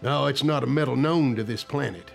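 An actor playing a steady, loyal general in charge of a secret project, gravelly, in an audio drama. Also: male voice, mid-range voice, a little fast, soft background music, lightly acted. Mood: assured.

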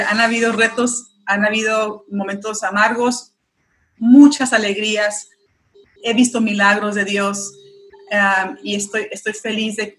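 A woman's voice: mid-range voice, fairly bright tone, slight background noise, poor audio. Earnest woman speaking Spanish. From United States. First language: English. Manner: earnest and encouraging, urgent appeals. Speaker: pro-life activist guest. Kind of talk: interview answer, exhorting listeners.

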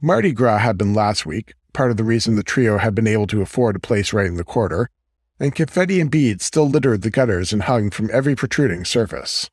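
A man's voice: lowish voice, lively intonation, fairly quick, breathy edge, no room sound, clean studio sound. A man reading a scripted fiction story aloud as an audiobook narrator.

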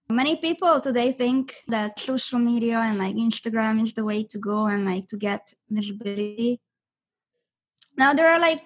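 A young woman from Hungary, a native Hungarian speaker, chatting as an interview guest with many fillers and restarts. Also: on the high side. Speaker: guest affiliate marketer. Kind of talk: interview answers.

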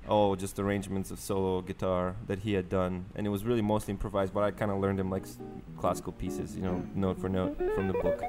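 A man's voice: sits low, level tone, fast, hardly any pauses, over music. A man from Bulgaria, chatting as an interview guest and telling stories with many fillers. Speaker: guest musician. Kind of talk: casual interview chat.

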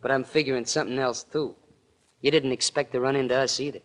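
An actor playing a menacing young gangster in a 1940s radio crime drama, talking in tough, sneering slang. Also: male voice, mid-range voice, fairly even intonation, fast, lightly acted. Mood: cold menace.

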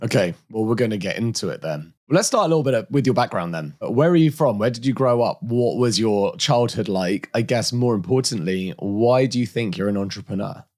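Slightly hoarse male interviewer. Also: on the low side, expressive, fairly quick, hardly any pauses, a little mellow. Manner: friendly, chatty questioning. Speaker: podcast host, interviewer. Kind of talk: casual interview chat.

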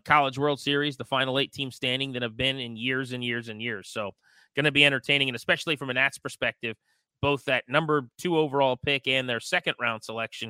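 Bright, crisp-voiced male sports host. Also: medium pitch, no room sound. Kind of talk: casual sports talk.

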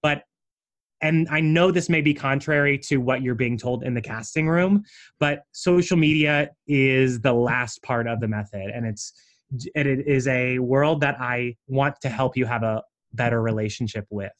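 A man who coaches people on branding, explaining his method in a conversational interview and speaking in an animated way with frequent casual asides. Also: medium pitch, moderate pace.

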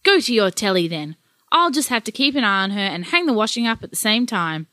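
A woman voicing an exasperated, nagging grandmother, sharp and scolding. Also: medium pitch, big pitch swings, a little fast, no room sound. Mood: annoyed.

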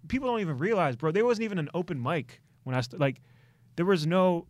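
A male comedian hosting a podcast, an animated, slangy storyteller who slips into quick voices. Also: on the high side, no echo. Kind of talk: casual chat.

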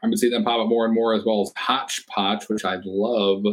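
Male co-host, fairly low voice, upbeat and reactive. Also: level tone, brisk. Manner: enthusiastic, short reactions. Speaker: podcast co-host. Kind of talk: casual beer podcast chat.

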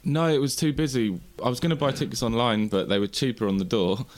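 A man's voice: mid-range voice, fast talker. An English man with a sore throat, co-hosting a golf podcast and chatting casually, a little sheepish. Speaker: podcast co-host. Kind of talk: casual chat.

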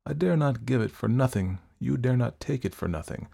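A man acts out a cynical young male doctor with murder behind him, from a gothic storybook. He is controlled, worldly and persuasive, with a slightly creaky edge to his coaxing. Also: mid-range voice, animated, fairly quick, dark tone, pitched above the performer's own voice, heavily acted. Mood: businesslike pressure.

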